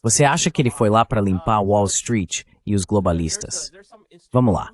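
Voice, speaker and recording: A man's voice: lowish voice, lively intonation, normal speed. A man giving translated voice-over political commentary, opinionated and fond of rhetorical questions.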